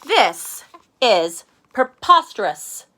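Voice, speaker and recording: A woman's voice: on the high side, big pitch swings, very slow, sharp tone, pitched above the performer's own voice, exaggerated voice. A woman voicing a proud, fussy male bearded dragon painter in a storybook, speaking in a haughty, exacting, emphatic way. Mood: indignant.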